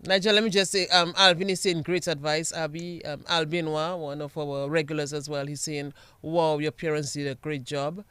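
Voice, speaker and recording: A man hosts a radio interview in a smooth, resonant voice that sits a little high. The sound is close and dry, and he speaks in an encouraging way as he guides the talk. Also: flat delivery, moderate pace, few pauses, sharp tone, dry recording. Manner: encouraging, reads listener comments. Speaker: radio host. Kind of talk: radio talk show hosting.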